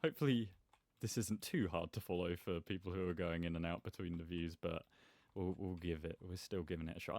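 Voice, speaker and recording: A man's voice: low voice, a little mellow, no echo. A man giving casual, rambling gameplay commentary as host, with a self-deprecating manner. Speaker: gaming video host.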